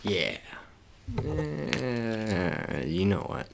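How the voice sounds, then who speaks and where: A man doing soft-spoken ASMR roleplay as a shady sports agent, talking in a halting, casual, coaxing way and repeating his phrases. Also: fairly low pitch, big pitch swings, vocal fry, very noisy.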